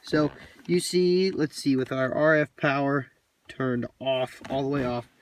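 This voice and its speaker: A man's voice: medium pitch, measured pace. A man giving a casual, hands-on walkthrough in a plainspoken, folksy way with colloquial grammar. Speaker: hobbyist presenter demonstrating his radio gear. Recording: distant mic, light background noise, clicks.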